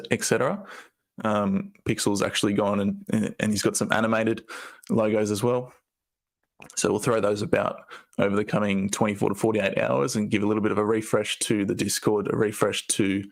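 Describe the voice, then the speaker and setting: A man with a croaky voice, casually presenting a team update with loose, rambling phrasing. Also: lowish voice. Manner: loose, rambling, with fillers and restarts.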